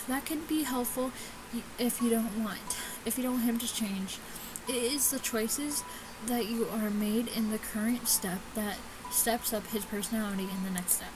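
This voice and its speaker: A woman hosting a casual let's play, reading game text aloud in a halting way with small stumbles. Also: a little high, music in the background. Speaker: gaming video host. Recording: clearly noisy home recording.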